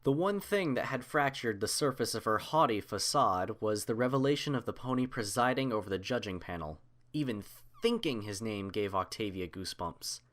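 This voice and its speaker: A man's voice: on the low side, lively intonation, normal speed, fairly bright tone. A man reading scripted fiction as an audiobook narrator.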